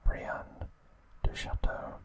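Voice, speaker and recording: Slow, breathy ASMR whisper. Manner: soft, gentle, unhurried storytelling. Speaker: ASMR video host. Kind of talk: whispered ASMR trivia recap.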